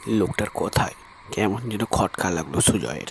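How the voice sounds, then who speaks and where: A man narrating a horror story in a measured, steady way. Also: deep voice, vocal fry, a little hiss or hum.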